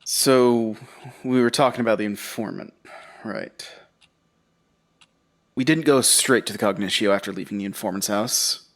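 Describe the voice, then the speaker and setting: An actor playing a weary, uneasy survivor who tells his story in a halting, confessional way for an audio drama. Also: lowish voice, natural voice. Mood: weary, reflective. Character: weary, uneasy male survivor recounting a horror ordeal. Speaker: narrator and lead character. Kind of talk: audio drama first-person narration.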